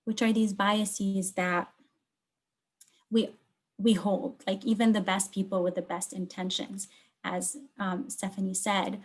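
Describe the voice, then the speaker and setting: A woman from Lebanon presents research as an academic, in a mid-range voice. She explains things in short, measured phrases. Key words get clear emphasis as she walks through her slides. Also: mid-range voice, little pitch movement.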